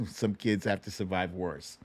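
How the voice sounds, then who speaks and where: A man hosting a livestream, giving casual, slangy and animated commentary on clips, with some swearing. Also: sits low, slow pace, husky, no echo. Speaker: livestream show host.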